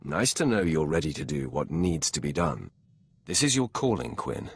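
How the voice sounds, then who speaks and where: An actor playing a cold, commanding Sith lord in a video game, speaking in a curt, imperious way. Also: male voice, a bass voice, sing-song, moderate pace, husky, no echo, heavily acted. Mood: stern.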